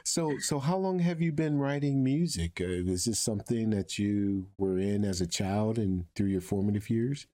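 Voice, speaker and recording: A man hosting an upbeat conversational interview, effusive in manner, with a husky voice. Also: fairly low pitch, normal speed, few pauses, warm tone. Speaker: show host interviewing a guest.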